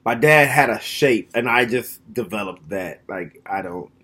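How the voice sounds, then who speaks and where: A man's voice: on the low side, animated, a little slow, pushed and bright, breathy edge. A man in his thirties talking at home in a casual, reflective monologue to the camera. He is frank and plainspoken, and thinks aloud as he goes. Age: 30s.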